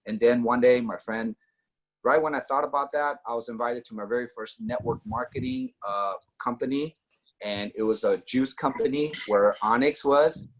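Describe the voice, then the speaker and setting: A man's voice: mid-range voice, moderate pace, rough recording. Man telling his story casually. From Austria. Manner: storytelling with acted quotes, fillers. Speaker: network marketing guest.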